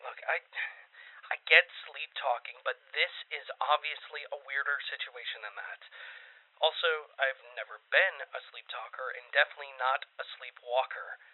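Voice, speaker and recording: A man playing an anxious amateur podcaster in a found-footage audio drama, rambling haltingly through a confessional monologue. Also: medium pitch, breathy edge, close to an everyday voice. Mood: worried, pleading. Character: anxious man recording a confessional podcast about eerie sleep noises. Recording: muffled, tinny radio or phone-like sound.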